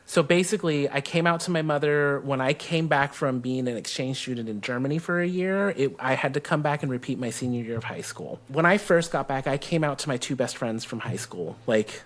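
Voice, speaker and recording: A middle-aged man with an American accent, telling personal stories conversationally in an animated manner. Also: medium pitch, a little fast, few pauses. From South Texas, USA. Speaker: guest co-host.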